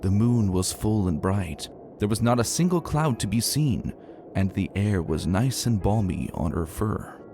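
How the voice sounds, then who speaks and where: A man narrating a dramatic story in an expressive, emphatic and colloquial way. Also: on the low side, unhurried, background noise. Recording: soft music behind narration.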